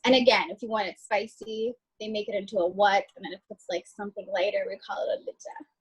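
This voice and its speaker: A woman's voice: mid-range voice, quick pace, husky, low-quality recording. A young woman from Ethiopia, chatting as a guest over a video call in a friendly, loose way with many fillers. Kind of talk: casual video call chat.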